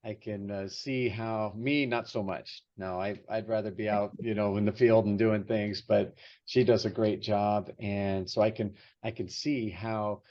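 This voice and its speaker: A man's voice: fairly low pitch, fairly even intonation, measured pace, a little mellow, dull audio. A man hosting a podcast interview, upbeat and chatty, with frequent fillers. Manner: upbeat, hesitant with many fillers. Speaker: podcast host. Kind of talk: casual interview chat.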